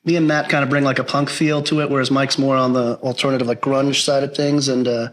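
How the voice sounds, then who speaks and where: Fast-talking man in his thirties. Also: medium pitch. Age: 30s. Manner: rapid, laughing, animated. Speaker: band member. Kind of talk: casual interview chat.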